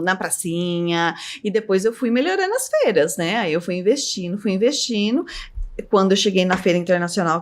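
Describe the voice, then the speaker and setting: A middle-aged woman, a business owner telling stories as a podcast guest, her voice leaping up high as she laughs through anecdotes. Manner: lively storyteller, voice jumps up high in anecdotes, laughs often. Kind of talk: casual storytelling interview.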